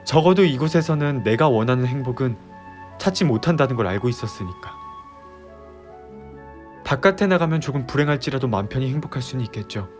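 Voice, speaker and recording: A man playing an earnest, disillusioned young fiancé in an audio drama, delivering a heartfelt, pleading monologue with deliberate pauses. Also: medium pitch, lightly acted. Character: earnest, disillusioned young male fiance, resolved to leave utopia. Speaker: audio drama creator and voice actor. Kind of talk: Korean ASMR audio drama roleplay. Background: background music.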